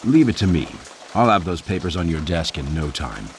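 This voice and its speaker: An actor playing a cocky, sardonic American air force captain in a video game, with an American accent and a dry delivery. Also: male voice, sits low, sing-song, moderate pace, a little hiss or hum. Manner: dry, wisecracking. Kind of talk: video game cutscene acting.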